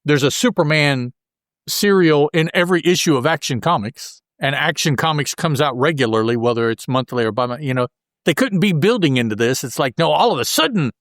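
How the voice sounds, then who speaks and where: A man's voice: on the high side, expressive, fairly quick, a bit bright, no room sound, studio quality. A man co-hosting a podcast, talking through comic books in a casual, rambling, conversational way. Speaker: podcast co-host. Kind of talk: casual comic book discussion.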